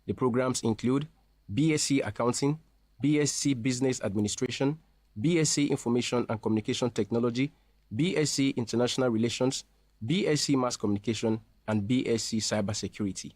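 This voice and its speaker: A man speaking as a representative in a scripted promotional interview, explaining things in a formal, measured way. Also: medium pitch, a little mellow, no echo. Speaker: university representative being interviewed.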